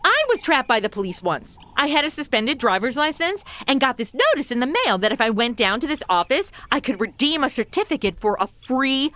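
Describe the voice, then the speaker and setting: A woman's voice: sits high, sing-song, brisk, hardly any pauses, fairly bright tone, no echo. An actress playing a brash, sarcastic modern young woman, flippant and slangy, in a comedy audio drama.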